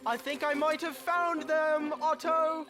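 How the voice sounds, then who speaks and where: A man's voice: very high voice, level tone, a little slow, music in the background. A man playing a kindly, earnest, slightly flustered young marten in a children's animal audio drama, speaking politely and hesitantly with old-fashioned exclamations. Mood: helpful.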